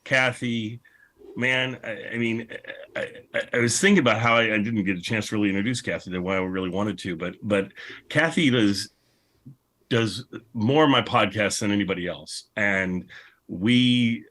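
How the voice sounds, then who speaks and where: Low-voiced man chatting as host. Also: normal speed, a bit bright. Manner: chatty, informal, rambling. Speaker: podcast host and panel moderator. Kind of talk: casual panel chat. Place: online video call panel.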